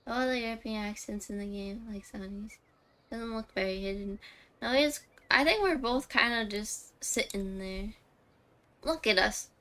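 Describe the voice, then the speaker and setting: A woman's voice: medium pitch, a little slow, pushed and bright. A woman streaming a video game, giving playful, chatty casual commentary. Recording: faint music behind the voice.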